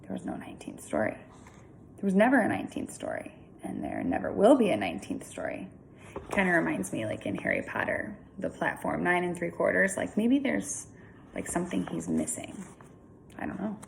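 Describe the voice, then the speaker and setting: A woman reads a children's story aloud in a chatty, informal way. Her voice sits a little low and swings expressively, with some fry at the edges. Faint noise runs behind her. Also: wildly swooping pitch, fairly quick, low-quality recording. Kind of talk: reading a children's story aloud with casual asides.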